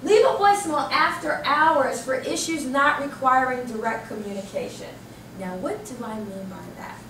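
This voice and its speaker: High-pitched American woman training a group. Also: normal speed. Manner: animated, emphatic, asks and answers own questions. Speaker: corporate trainer. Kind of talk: interactive workshop presentation to a group. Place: indoor training room. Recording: roomy, distant microphone with background noise.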